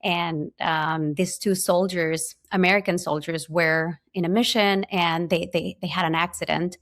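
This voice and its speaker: Woman, Ecuadorian Spanish accent, lowish voice, earnest storytelling guest, physician scientist. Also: steady tone, measured pace, fairly bright tone. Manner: earnest, many fillers and restarts. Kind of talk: personal storytelling in conversation.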